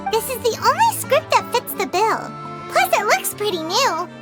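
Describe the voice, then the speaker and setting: A woman voicing a small, childlike female fairy companion in an anime video game, squeaky, perky and blunt. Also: very animated, moderate pace, heavily acted. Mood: excited, searching. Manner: exclaims brightly, speaks of herself in third person. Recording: loud game music underneath.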